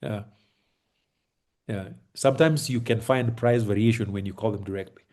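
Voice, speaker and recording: A Kenyan man with a Kenyan accent giving relaxed, reassuring advice on a video call, with lots of fillers and restarts. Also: lowish voice, normal speed. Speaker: host advising on moving to Kenya. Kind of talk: casual advice chat on a call. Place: home in Kenya.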